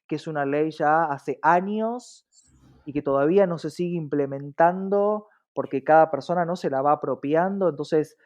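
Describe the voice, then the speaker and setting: A man's voice: a little high, normal speed, a little mellow. A man with an Argentine accent, an interview guest explaining things in an articulate, emphatic way with frequent rhetorical checks. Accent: Argentine Spanish. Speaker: interview guest, diversity advocate. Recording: dull, muffled lo-fi audio.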